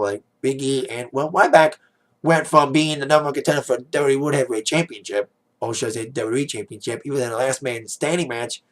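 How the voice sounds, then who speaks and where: A man with a raspy, worn-out voice giving a casual spoken review, rambling with plenty of "like" and "uh". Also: mid-range voice, a bit bright. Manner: rambling, heavy on "like", "uh" and "you know". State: hoarse, voice fading after a DJ gig. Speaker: wrestling pay-per-view reviewer.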